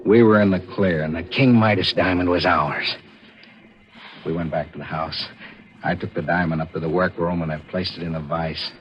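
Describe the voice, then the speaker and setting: A man's voice: sits low, steady tone, moderate pace, lightly acted. An actor playing a scheming, nervous forger and killer who tells his crime in clipped hardboiled style, in 1940s radio thriller acting. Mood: matter-of-fact. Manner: confessional, clipped hardboiled narration. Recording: muffled vintage radio recording, music behind.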